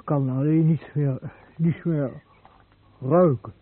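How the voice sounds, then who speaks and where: An actor playing a lonely, badly burned crash patient who stammers in short, repeated fragments in a radio drama. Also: male voice, fairly high pitch, fairly even intonation, slow pace. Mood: resigned. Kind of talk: Dutch radio drama acting. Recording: thin phone-like band, muffled radio recording.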